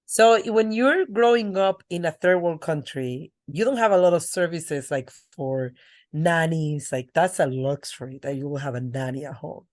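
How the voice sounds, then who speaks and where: Brief: lower-pitched middle-aged woman, earnest storyteller on a podcast. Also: moderate pace, darkish tone. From Dominican Republic. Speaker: guest. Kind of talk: podcast interview.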